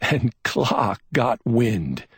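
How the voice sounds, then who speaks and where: A man doing a brusque, excitable cop. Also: medium pitch, drawn-out words, slightly rough, no echo, studio quality, voice pushed up, exaggerated voice. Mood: urgent. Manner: snapping, urgent, scolding. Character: brusque, excitable male police officer.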